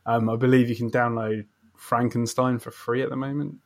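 Male podcast host, mid-pitched; effusive, agreeable, conversational interviewer. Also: level tone, brisk. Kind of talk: casual interview chat.

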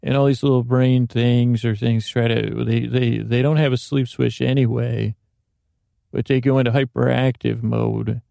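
A man's voice: medium pitch, little pitch movement, gravelly, mellow, no room sound. Male sleep-podcast host, meandering lulling monologue, dry close sound. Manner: slow, meandering, self-interrupting. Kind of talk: rambling bedtime monologue.

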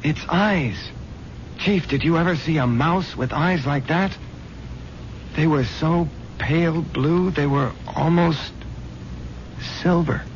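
An actor playing an earnest, uneasy police subordinate who speaks with urgency in a radio drama. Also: male voice, slow, long pauses. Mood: horrified. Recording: muffled, rumbling noisy old radio recording.

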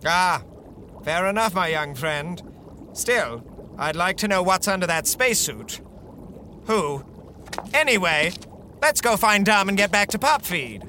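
A man plays a swooning, catty male reporter in a 1930s-style radio serial. His high voice slides dramatically up and down at an unhurried, theatrical pace, with music behind it. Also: expressive, sharp tone, slight background noise, exaggerated voice. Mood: swooning admiration.

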